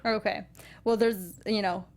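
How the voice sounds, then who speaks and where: A woman's voice: mid-range voice, steady tone, measured pace. A woman co-hosting a film review show in casual, conversational chat.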